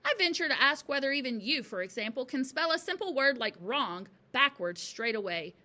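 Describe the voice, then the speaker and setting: A woman's voice: high-pitched, big pitch swings, moderate pace, bright, forceful tone, voice pushed up, exaggerated voice. A woman voicing a young female schoolteacher from a storybook, earnest, polite and firm.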